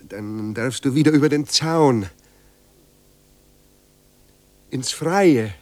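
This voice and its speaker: An actor in a German radio drama playing a sardonic, melancholy writer who coaxes and wheedles with irony. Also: male voice, mid-range voice, measured pace, a little mellow, no room sound, lightly acted. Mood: coaxing. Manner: coaxing, ironic, wheedling. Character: sardonic, pleading man, a hard-drinking melancholy writer.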